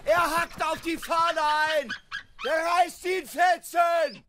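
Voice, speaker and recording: Loyal, troubled whaling mate, very high for a man, unhurried, radio drama with noisy ambience. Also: fairly even intonation, shouting. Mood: urgent shouting. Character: loyal, anxious first mate of a whaling ship. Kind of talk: German radio drama acting.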